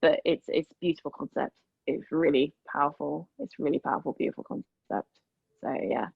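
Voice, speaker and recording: A woman giving casual, appreciative feedback as a cast member, speaking in halting phrases. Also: low-pitched, fairly even intonation, creaky, slightly rough, dry recording, narrow, tinny audio. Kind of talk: casual discussion.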